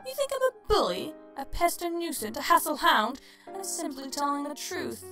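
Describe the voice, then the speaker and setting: Woman voicing a menacing ghost, high voice, sneering, drawn-out words, taunting storybook villain, clear music behind. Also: sing-song, sharp tone, full character voice.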